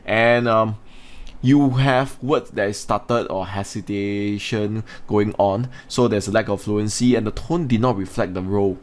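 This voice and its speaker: A man speaks as an English teacher, his voice sitting on the low side. He explains and instructs in a spoken lesson, commenting on exam work point by point. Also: fairly even intonation, unhurried.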